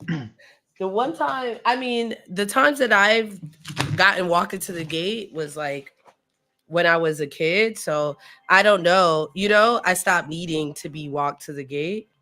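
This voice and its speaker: A woman's voice: lowish voice, measured pace, pushed and bright. A woman streamer chatting casually and informally, with slangy phrasing, while she builds. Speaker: streamer co-hosting a Lego build.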